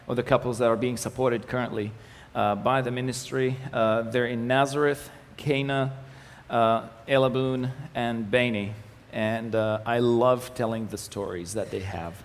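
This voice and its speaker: A young man from the Galilee whose first language is Arabic, presenting his ministry to a church audience in a relaxed, joking manner, with many fillers and restarts. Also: mid-range voice, unhurried. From Israel, Galilee. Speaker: ministry communications manager giving a presentation. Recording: echoey hall with faint noise.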